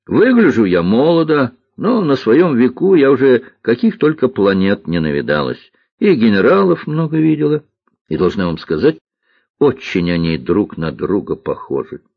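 A man's voice: mid-range voice, huge pitch swings, moderate pace, slightly rough, dry recording, lo-fi sound, pitched above the performer's own voice, heavily acted. A man voicing a bold, stubborn little girl in a children's audiobook, asking pointed questions in an insistent way. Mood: knowing, defiant. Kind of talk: audiobook character voice, children's science fiction.

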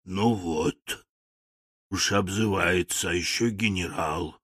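A man voicing an impudent talking machine that grumbles in a hoarse voice, in an audiobook story. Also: slow pace, fairly bright tone, dry recording, studio quality, full character voice. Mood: grumbling. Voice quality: hoarse, low.

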